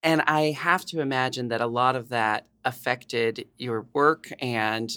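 A woman's voice: extremely low pitch, measured pace, a bit bright, clear, resonant tone. A woman hosting a podcast interview in casual chat, laughing often and restarting her sentences. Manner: giggly, frequent laughs and restarts. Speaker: podcast host.

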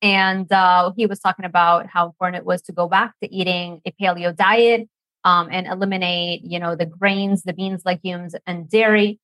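A woman's voice: lowish voice, steady tone, moderate pace, fairly bright tone, dry recording, rough recording. A woman in her thirties from Lebanon, a nutrition professor telling her story as an interview guest, chatty, with frequent laughs and restarts. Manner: chatty, laughs often, many fillers and restarts.

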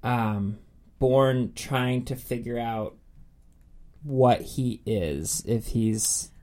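A man's voice: medium pitch, very slow, warm tone. A man co-hosting a podcast in a casual, enthusiastic chat. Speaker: podcast co-host.

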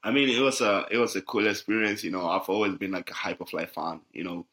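A man's voice: low voice, steady tone, fast talker, fairly bright tone. A young man from Ghana talking easily in a casual podcast interview, with many fillers and laughs. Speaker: guest, jiu-jitsu athlete.

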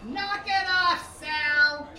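A woman playing a brash, chatty café waitress in a comedic audio drama, broad and animated in manner. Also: very high-pitched, very slow, crowd noise, full character voice, poor audio. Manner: broad, animated, swears bluntly. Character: brash, chatty pregnant café waitress, foul-mouthed with her husband.

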